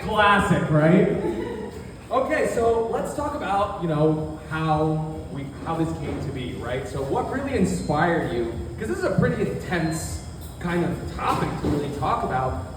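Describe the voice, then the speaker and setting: Casual, upbeat Q&A host, distant and reverberant, with clear background noise. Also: medium pitch, big pitch swings, a little slow. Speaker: panel host. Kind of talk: live audience Q&A hosting. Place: coffee bar event room, live audience. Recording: very echoey hall, distant mic, background noise.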